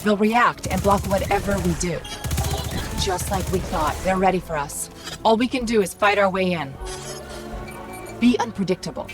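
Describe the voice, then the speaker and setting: A woman voicing a witty, eager AI ghost companion in a video game, with a wry, expressive, conversational delivery. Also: medium pitch, moderate pace, pauses often, over music, natural voice. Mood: urgent, focused. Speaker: guide companion. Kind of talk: video game dramatic dialogue.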